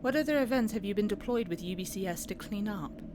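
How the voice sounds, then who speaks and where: Woman playing probing interrogator, businesslike, medium pitch, formal pointed questions, audio drama, clear background noise. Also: fast, close to an everyday voice. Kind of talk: dramatic audio roleplay acting.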